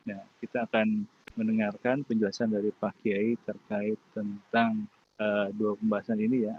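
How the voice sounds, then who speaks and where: A man's voice: medium pitch, lo-fi sound. Polite male moderator, many fillers. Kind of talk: hosting an online study session.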